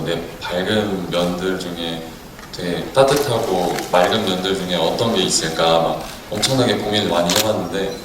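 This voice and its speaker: An actor speaks earnestly about his character at a festival Q&A, his voice very low. The sound is distant and washed in the echo of a large cinema hall. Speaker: film actor at a screening Q&A. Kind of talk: festival Q&A answers. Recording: echoey hall audience recording, distant and noisy.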